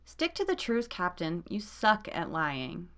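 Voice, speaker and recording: Woman playing a sassy, highly animated space crewmate. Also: unhurried, exaggerated voice. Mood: exasperated. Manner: teasing, expressive. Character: sassy, sharp-witted female starship crewmate. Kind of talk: comic sci-fi radio serial acting.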